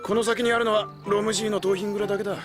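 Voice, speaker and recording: Man as anime villager, rough informal speech, very fast, higher pitched, music behind. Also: flat delivery, natural voice. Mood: wary, informal. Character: plain-spoken male villager, anime extra. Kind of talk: Japanese anime acting. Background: clear background music.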